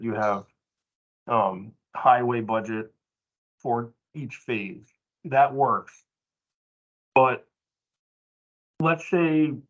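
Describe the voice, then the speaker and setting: A man informally explaining a point at a workplace training meeting, halting at times and emphatic. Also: mid-range voice, fairly bright tone. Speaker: training participant adding comments. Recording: dull lo-fi meeting audio.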